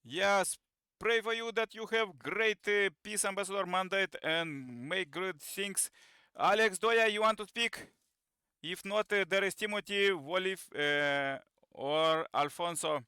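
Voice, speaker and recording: A man speaking non-native English, hosting an informal online meeting with halting, broken phrasing. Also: sits high, sharp tone, clear, resonant tone, no echo, studio quality. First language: not English. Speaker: presenter and host of an online peace training. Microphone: video call microphone.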